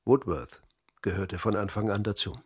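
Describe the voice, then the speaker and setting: A calm academy director confessing. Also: male voice, on the low side, husky. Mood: matter-of-fact. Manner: measured, steady testimony. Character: Dutch academy director, calm psychologist under interrogation. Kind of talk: radio crime drama.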